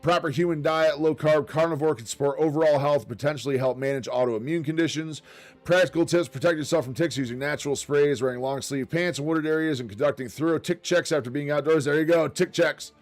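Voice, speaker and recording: Chatty man livestreaming, slightly raspy. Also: on the high side, a little fast, faint music behind. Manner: chatty and upbeat, quiet asides while reading. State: slightly raspy from long streaming. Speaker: livestream host. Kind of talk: casual livestream chat, reading notes aloud.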